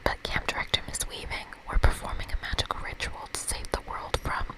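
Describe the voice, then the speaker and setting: A woman's voice: a little fast, close to an everyday voice. Woman as an earnest whispering young magic camper. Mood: pleading.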